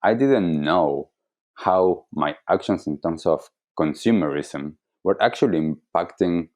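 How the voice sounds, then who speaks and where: A man with an Argentine accent giving reflective interview answers as a wellness coach, explaining deliberately and earnestly. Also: low voice, darkish tone. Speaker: wellness coach, podcast guest.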